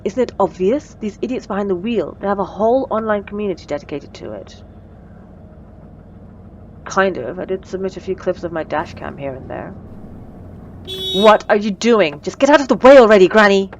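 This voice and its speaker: A woman playing a bossy, sarcastic supervillain with a soft spot, in a teasing, dry, conversational ASMR roleplay. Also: mid-range voice, normal speed, long pauses, highs cut off, slight background noise, lightly acted. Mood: exasperated. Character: female supervillain, bossy and sarcastic, secretly flustered by compliments. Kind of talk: ASMR roleplay audio drama.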